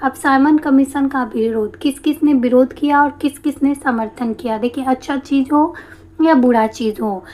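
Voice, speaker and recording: A woman teacher with a slightly hoarse throat, explaining a history lesson step by step and answering her own questions. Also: sits high, normal speed, a little mellow. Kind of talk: exam-prep history lesson.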